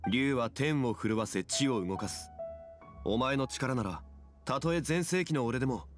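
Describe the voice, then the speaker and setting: An actor voicing a calm, dignified ancient male god in human form, unhurried in speech. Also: mid-range voice, faint music behind, natural voice. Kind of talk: Japanese game dub.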